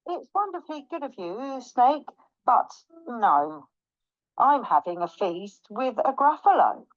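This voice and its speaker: A woman voicing a small, plucky brown mouse for a children's storybook, boastful and cunning, with emphatic, drawn-out words. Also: fairly high pitch, sing-song, no echo, lo-fi sound. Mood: polite, teasing. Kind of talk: children's storybook read aloud.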